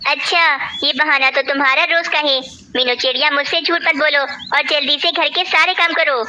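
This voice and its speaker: Woman voicing a bossy, high-pitched crow lady. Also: fairly even intonation, moderate pace, sharp tone, dull audio, slight background noise. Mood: impatient, scolding. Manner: scolding, threatening. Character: ill-tempered, bossy female crow employer. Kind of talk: Hindi cartoon voice acting.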